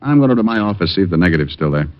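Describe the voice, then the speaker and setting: An actor playing a dry, wisecracking private detective who narrates an old radio drama. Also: male voice, sits low, sing-song, racing. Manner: dry wisecracking, laid-back. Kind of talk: 1940s radio acting. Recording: dull lo-fi old radio recording with music.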